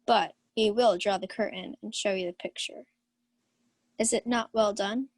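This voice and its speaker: A woman's voice: medium pitch, steady tone, unhurried, no room sound. A young woman playing a proud, commanding countess in a theatrical radio Shakespeare play. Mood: haughty. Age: young adult. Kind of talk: 1940s radio Shakespeare acting.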